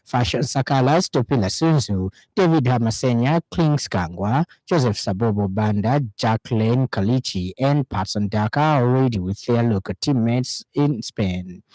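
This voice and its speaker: A man with a Zambian accent reading a scripted radio news bulletin in a formal, measured way. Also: medium pitch, expressive, a little mellow, dry recording, poor audio. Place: radio studio.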